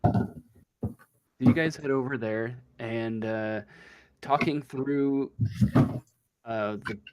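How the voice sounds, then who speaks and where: Slow, halting man running a game. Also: mid-range voice, noisy background. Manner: halting, tentative delivery, searching for words. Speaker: game master. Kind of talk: improvised narration and chat in a tabletop game.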